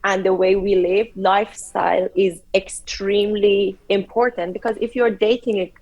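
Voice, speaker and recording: A woman co-hosting a casual chat show, speaking earnestly with halting restarts and steering the conversation. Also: medium pitch, level tone, darkish tone, lo-fi sound. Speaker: co-host.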